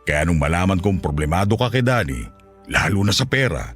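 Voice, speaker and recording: An actor playing a lustful, coaxing middle-aged Filipino widower in a Tagalog radio drama. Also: male voice, low-pitched, big pitch swings, a little fast, fairly bright tone, soft background music. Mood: smitten, confessing. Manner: suggestive, coaxing, chuckling seducer.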